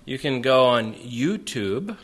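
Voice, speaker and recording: An American man preaching to a congregation in short phrases, pausing for an interpreter after each one. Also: medium pitch, sing-song. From United States. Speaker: visiting preacher.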